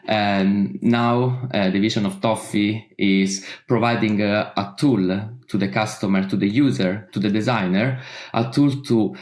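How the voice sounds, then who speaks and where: Italian guy, kinda deep, lots of uh and restarts, echoey room. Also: steady tone, measured pace, a little mellow. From Italy. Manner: hesitant, many uh fillers and restarts. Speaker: company founder and CEO. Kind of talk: interview answers.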